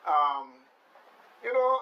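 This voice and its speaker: Male radio host, voice a touch high; loose, emphatic chat, a little off-mic. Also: drawn-out words, highs cut off. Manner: animated, emphatic, repeats phrases for effect. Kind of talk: casual, rambling talk show chat. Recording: slightly distant mic with faint noise.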